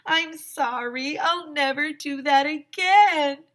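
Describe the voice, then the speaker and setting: A woman's voice: high-pitched, slow, a bit bright, pitched above the performer's own voice. A woman voicing a jealous little schoolgirl speaking through put-on tears in a storybook. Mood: sorry, pleading. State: crying, put on.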